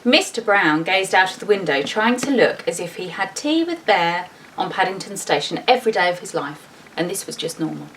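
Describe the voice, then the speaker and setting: Woman, storybook reader, very lively intonation, chatty asides, distant mic, faint background noise. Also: on the low side, normal speed. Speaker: school teacher reading a storybook aloud. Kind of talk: expressive children's storybook reading with chatty asides.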